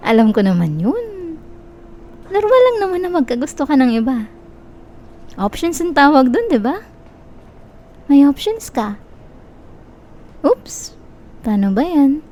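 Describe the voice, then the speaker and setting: Casting: actress for young Filipino-accented yandere stalker in ASMR roleplay; fairly high, very gentle mellow tone, possessive, manipulative, sweetly threatening. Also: female voice, big pitch swings, slow, pauses often, full character voice. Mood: teasing, jealous. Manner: soft, coaxing, teasing, quietly menacing. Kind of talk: Tagalog-style ASMR roleplay, intimate close address.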